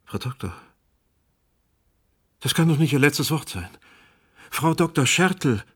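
A man's voice: on the high side, animated, takes it slowly, fairly bright tone, husky, full character voice. A male actor playing an earnest radio presenter facing catastrophe in a radio drama. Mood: shaken. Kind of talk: radio drama acting, broadcast moderation.

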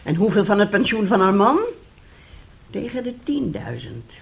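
Needling, scheming middle-aged wife. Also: female voice, mid-range voice, big pitch swings, normal speed, creaky. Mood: calculating. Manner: pointed, needling. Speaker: actor. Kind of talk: radio drama acting.

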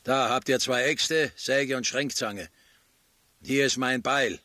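Gruff, slow old toolmaker. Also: male voice, mid-range voice, bright, forceful tone, no room sound. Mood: gruff, curt. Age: older. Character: gruff, suspicious old toolmaker in a labour camp. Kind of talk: German radio play acting. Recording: studio radio play, music underneath.

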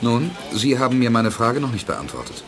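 An actor playing a calm, decisive space hero and leader in a 1980s German radio play, speaking with measured authority. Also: male voice, medium pitch. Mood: measured, probing. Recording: music behind studio radio drama.

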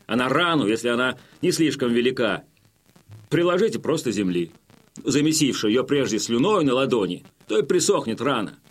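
A man's voice: mid-range voice, very animated, normal speed. An actor playing a stern Cossack chief in a radio play, addressing his men with authoritative, folksy oratory. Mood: instructing, firm. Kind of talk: radio play acting, rousing military address.

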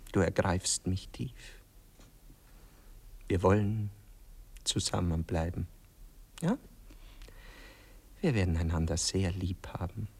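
An actor playing a dreamy, self-absorbed poet in a radio play, with a Viennese accent and a sentimental, often hushed delivery. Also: male voice, low voice, animated, slow pace, long pauses, slightly rough, full character voice. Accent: Austrian, Viennese. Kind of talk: theatrical radio play acting.

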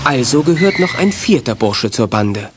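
A man narrating a scripted children's audio drama in a measured, suspenseful storyteller's way. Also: medium pitch, very animated, noisy background. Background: music.

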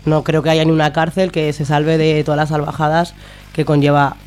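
A woman's voice: low voice, level tone, a little fast, a little mellow. A woman with a Spanish accent from Spain speaking as an activist guest in an informal radio interview, hesitant and colloquial, often checking for agreement. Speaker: activist guest, member of a citizens' assembly.

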